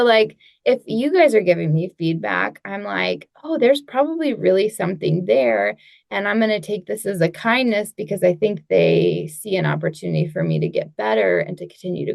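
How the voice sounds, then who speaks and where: A woman coach talks on a podcast, working through her thoughts aloud with plenty of "like"s. Her voice has a gravelly edge and sounds close and dry. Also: mid-range voice, darkish tone, dry recording. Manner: reflective, thinks aloud with many "like"s and restarts. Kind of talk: casual podcast chat.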